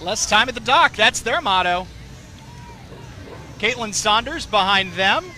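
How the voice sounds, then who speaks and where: A man's voice: high voice, lively intonation, a little slow, bright, forceful tone, a faint crowd. A man with a Southern American accent giving jokey, chatty live commentary on a street parade outdoors. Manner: jokey, chatty, laughs often.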